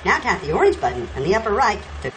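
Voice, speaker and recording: A woman's cheerful, encouraging voice giving clear step-by-step instructions in a game, praising each step. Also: medium pitch, huge pitch swings, fast talker, noisy background. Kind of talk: cheerful scripted game prompts. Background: loud game music.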